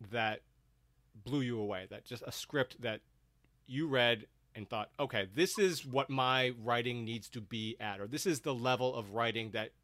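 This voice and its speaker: A man's voice: mid-range voice, fairly bright tone, no room sound. A man hosting and moderating a live-streamed panel discussion in a conversational way.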